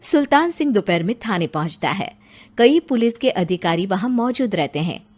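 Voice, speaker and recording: A woman's voice: medium pitch, lively intonation, normal speed, a little mellow. A woman narrating a scripted story in a dramatic storytelling style. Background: music.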